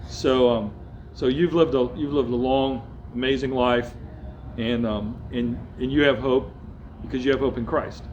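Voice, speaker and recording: Earnest male interviewer of middle pitch. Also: fairly even intonation, unhurried, darkish tone, dull audio, slight background noise. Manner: earnest, repeats words often. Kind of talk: interview conversation.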